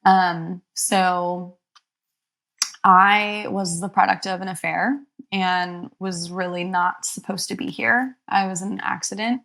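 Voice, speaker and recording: A young adult woman therapist, born in Egypt, speaking slowly and reflectively as an interview guest, pausing often with soft ums. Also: on the low side, steady tone, vocal fry. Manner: soft, thoughtful, many pauses and ums.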